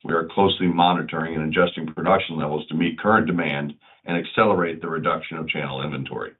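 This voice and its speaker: A man speaking as a chief executive, reading prepared corporate remarks in a formal, measured way. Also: low-pitched. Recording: muffled conference phone line.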